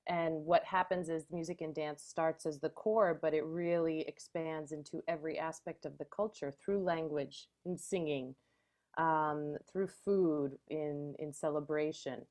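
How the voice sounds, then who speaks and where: A woman's voice: deep voice, fairly even intonation, a little slow, a little mellow. A woman from the United States, a guest who hosts a travel show, chatting in a friendly, upbeat way on a video call. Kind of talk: casual video call chat.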